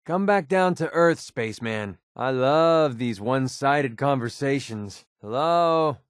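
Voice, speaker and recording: Game companion: a guarded young American gun-for-hire, wry and conversational, medium pitch, dry studio sound. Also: male voice, lively intonation, takes it slowly, clear, resonant tone, dry recording. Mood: sardonic. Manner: sardonic, conversational, hesitant asides. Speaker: video game companion character. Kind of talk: video game voice acting.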